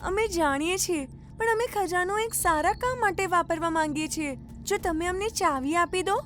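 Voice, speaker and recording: A woman's voice: extremely high pitch, moderate pace, a bit bright, slight background noise, pitched above the performer's own voice. A woman voicing a polite, brave little girl in a children's cartoon story, earnest and pleading in manner.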